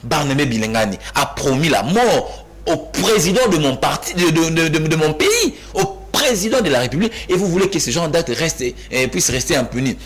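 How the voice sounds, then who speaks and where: A man from Kinshasa, a panelist in a heated studio debate who cuts in, repeats himself and rises almost to shouting. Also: fairly high pitch, sing-song, normal speed, few pauses. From Kinshasa, DR Congo. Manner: insistent, interrupts, repeats himself emphatically, rising to near-shouts.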